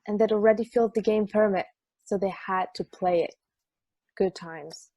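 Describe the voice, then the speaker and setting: A woman's voice: mid-range voice, steady tone, moderate pace, warm tone, dry recording, highs cut off, close to an everyday voice. A woman playing a jaded, sardonic sports podcast host in a casual table read. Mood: casual explaining.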